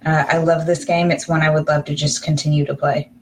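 A woman with a low-pitched voice reviews casually on a podcast. Her intonation stays quite flat and even, in an informal conversational style. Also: fairly quick, a little hiss or hum. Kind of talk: casual chat.

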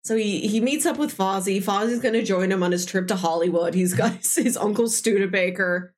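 A woman's voice: mid-range voice, fairly quick. A woman hosting a podcast, chatting casually and enthusiastically about film, often with a giggle in her talk. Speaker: podcast host. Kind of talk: casual film discussion chat.

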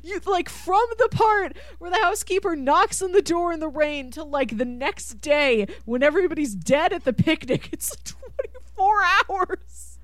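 A woman with a fairly high voice that swings widely in pitch, chatting about films as a podcast host. She is emphatic and animated, and the recording is close and dry. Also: normal speed, no echo. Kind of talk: casual film discussion.

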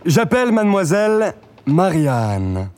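An actor playing a stern parish priest who speaks in a solemn, preaching manner. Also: male voice, high voice, very animated, unhurried, a little mellow. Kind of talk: film acting.

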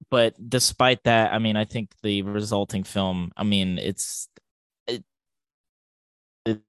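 A man with a fairly low voice co-hosts a casual film podcast. He thinks aloud, hesitating and rephrasing as he goes. The sound is very dry, with no room echo. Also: smooth. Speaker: podcast co-host.

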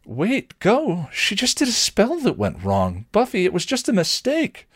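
Guy putting on a upset young woman in a story, pleading, pitched way up, swingy. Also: very animated, a little fast, creaky, mellow, no echo, studio quality, heavily acted. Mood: pleading, insistent. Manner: pleading, emotional. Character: loyal, hurt, pleading young woman in love.

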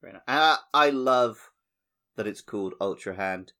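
A man's voice: lowish voice, expressive, moderate pace, pushed and bright. A man co-hosting a gaming podcast, talking in a casual, conversational style.

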